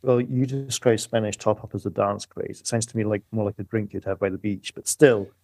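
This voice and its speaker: Gravelly low-voiced man, economist guest. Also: steady tone, brisk, darkish tone, no room sound. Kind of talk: conversational expert explanation.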